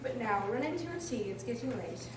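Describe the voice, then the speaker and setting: A gentle older sister in a radio play, acted by a woman, speaks in a high, lively voice. She sounds far from the mic in a very echoey, noisy room. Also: brisk, natural voice. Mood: tender. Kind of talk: radio drama acting.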